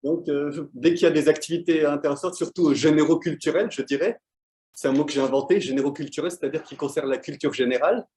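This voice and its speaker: A man's voice: on the high side, fast, a little mellow. A man from Belgium, a quiz winner, answering interview questions hesitantly with many fillers.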